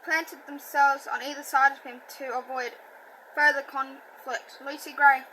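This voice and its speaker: A woman reading a novel aloud, halting and self-correcting, with a stutter and a slight lisp. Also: high-pitched, flat delivery, sharp tone, a little hiss or hum, rough recording. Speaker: audiobook reader. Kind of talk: amateur book reading.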